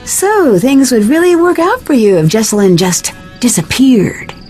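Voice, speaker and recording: An actress playing an inquisitive, composed young detective in a video game, probing and matter-of-fact. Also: female voice, on the high side, very animated, unhurried, darkish tone, faint music behind.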